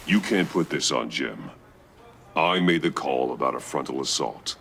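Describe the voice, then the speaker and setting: Gravelly, ultra-deep grim masked vigilante. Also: male voice, moderate pace, heavily acted. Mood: firm. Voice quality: gravelly growl. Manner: terse, deadpan. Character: grim, stoic masked vigilante man, terse and guarded. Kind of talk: video game dramatic acting.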